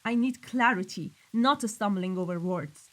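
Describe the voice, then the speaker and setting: A woman's voice: medium pitch, unhurried, fairly bright tone, lightly acted. A woman playing a cold, commanding mafia boss in a scripted audio roleplay, speaking sternly and accusingly. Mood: cold anger. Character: cold, menacing female mafia boss dealing in weapons.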